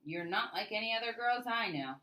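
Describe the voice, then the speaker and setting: A lively young woman from a storybook, the loyal friend, voiced by a woman narrator. She speaks up eagerly and brightly, a little above the narration, as if every line were an exclamation. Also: a little high, brisk, reverberant, pitched above the performer's own voice. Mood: curious.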